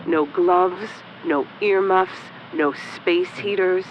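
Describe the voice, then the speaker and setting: A woman playing an earnest expert in a comedic radio drama, explaining things deliberately and gravely. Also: lowish voice, steady tone, a little hiss or hum. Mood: mock gravity. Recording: dull sound with faint vehicle-like ambience.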